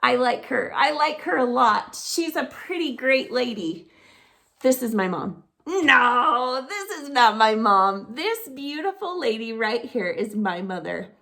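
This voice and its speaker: A middle-aged woman teaching preschoolers, talking to small children in an animated, sing-song teacher voice. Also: a little high, a little slow, vocal fry. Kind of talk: children's circle-time lesson to camera.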